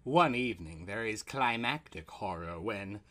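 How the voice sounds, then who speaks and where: A man's voice: fairly low pitch, animated, unhurried, a bit bright, slight background noise. A man narrating a scripted horror story in an ominous, dramatic manner.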